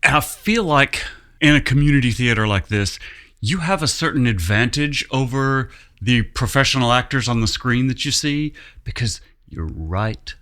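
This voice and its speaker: Bright, forward-voiced American man chatting. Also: mid-range voice, lively intonation, moderate pace. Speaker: theatre director being interviewed. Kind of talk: casual chat.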